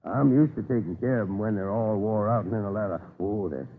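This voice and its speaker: A man's voice: lowish voice, fairly quick, phone-quality sound, natural voice. An actor playing a chatty, deferential stableman at a colonial inn in a 1930s radio drama, folksy and a little hesitant. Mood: chatty, obliging. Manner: folksy, hesitant, rustic grammar. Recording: thin, noisy 1930s radio recording.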